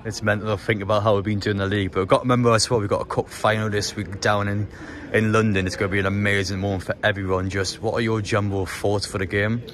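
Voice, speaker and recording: A man's voice: on the low side, flat delivery, fast, a bit bright, a little hiss or hum. A man interviewing football fans on the street, asking long rambling questions. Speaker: interviewer, YouTuber. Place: city street outdoors.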